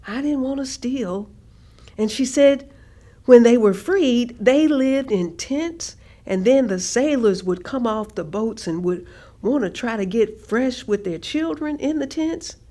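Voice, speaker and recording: Actress doing a thoughtful grandma recalling family stories, slightly high voice, measured and earnest, staged reading. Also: female voice, dark tone. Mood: wry, proud. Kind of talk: staged reading of oral history.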